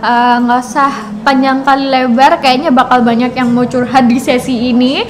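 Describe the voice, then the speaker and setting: A woman's voice: high-pitched, little pitch movement, moderate pace. A young woman hosting a casual street-style interview show, upbeat and chatty as she introduces the topic and asks each guest questions. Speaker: interview host. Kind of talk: casual vox-pop interviewing.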